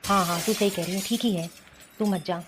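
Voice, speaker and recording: A woman's voice: medium pitch, fairly even intonation, fairly quick, a little hiss or hum, natural voice. A woman playing a troubled, dutiful husband in an amateur family cartoon, speaking in a hesitant, resigned manner. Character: troubled, dutiful husband torn between mother and wife. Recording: muffled lo-fi sound, music behind.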